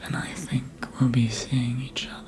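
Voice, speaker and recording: A woman playing a patient, possessive elder vampire mentor in a hushed, measured ASMR roleplay, mostly in whispers. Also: extremely low pitch, little pitch movement, music in the background. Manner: hushed, measured, lingering pauses.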